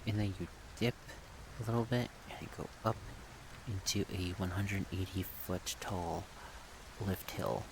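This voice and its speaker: A man rambling in a soft-spoken ASMR style, unscripted, thinking aloud in halting short phrases. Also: deep voice, creaky, noisy background. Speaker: ASMR video creator.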